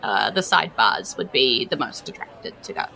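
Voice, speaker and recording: A woman's voice: a bass voice, big pitch swings, normal speed, vocal fry, sharp tone. A woman with a New Zealand accent running a tabletop game, improvising descriptions in a colloquial, conversational way. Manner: conversational, colloquial, piecing descriptions together as she goes. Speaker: game master. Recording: music playing behind the voice.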